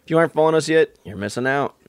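A man's voice: a little high, racing, smooth, no room sound. A man co-hosting a podcast, speaking in an earnest, encouraging way. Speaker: podcast co-host.

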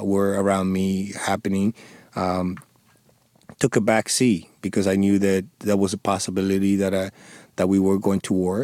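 A man in his forties from the Dominican Republic with a thick Dominican Spanish accent, a native Spanish speaker answering radio interview questions in a halting, earnest way with many restarts. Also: sits low, a little mellow, dry recording. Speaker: interview guest, former police sergeant.

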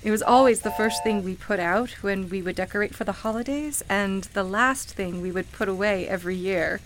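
A woman's voice: mid-range voice, a little fast, a little hiss or hum, natural voice, poor audio. An actress in a radio play playing a sincere, wistful woman who reminisces hesitantly about her life. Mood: hesitant, wondering. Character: wistful woman grieving her beloved grandmother at Christmas. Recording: music playing behind the voice. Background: music.

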